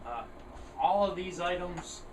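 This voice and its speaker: A man's voice: high voice, expressive, unhurried. A man chatting plainly and casually, as in a rambling hobby show-and-tell video. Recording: roomy, distant mic, clear air-conditioner noise. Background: air conditioner running.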